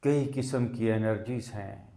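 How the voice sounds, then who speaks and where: A man leading a guided meditation as a yoga teacher, deliberate and repetitive, with long pauses between phrases. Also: lowish voice, mellow. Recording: roomy, echoing sound.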